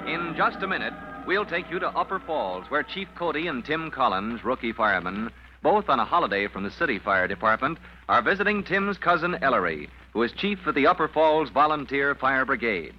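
A man's voice: mid-range voice, lively intonation, a little fast, natural voice. Dramatic 1940s radio announcer played by a man. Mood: rousing. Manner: dramatic, declamatory. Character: dramatic male announcer of a firefighting adventure serial. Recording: dull 1940s radio recording, music behind.

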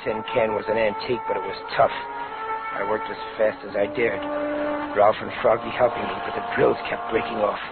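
An actor playing a tough, bossy gangster leader with gravelly voice and curt, slangy talk in a 1950s radio thriller. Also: male voice, fairly low pitch, flat delivery, a little fast, over loud music, natural voice. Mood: tense narrating. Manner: curt streetwise commands, slangy. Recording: scratchy tinny 1950s radio recording.

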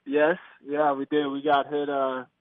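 A man's voice: on the high side, completely flat delivery, moderate pace, dry recording. A man in his twenties from California, giving a casual, laid-back interview as a guest over the phone. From California, United States. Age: 20s. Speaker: baseball player, interview guest. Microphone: phone call. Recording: tinny narrow phone line.

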